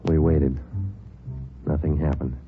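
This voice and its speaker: A man's voice: a bass voice, level tone, slow. An American man playing a terse, no-nonsense police detective, narrating his case matter-of-factly in old-time radio style. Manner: clipped, matter-of-fact. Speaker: lead actor and narrator of a police radio drama. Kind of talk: 1940s-50s radio acting, terse scripted narration. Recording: muffled vintage radio with background music.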